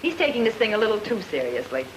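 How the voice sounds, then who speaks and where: Woman acting lively ranch hostess, noisy old radio. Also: medium pitch, quick pace, natural voice. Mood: gracious. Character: gracious, anxious ranch-owning married woman hostess.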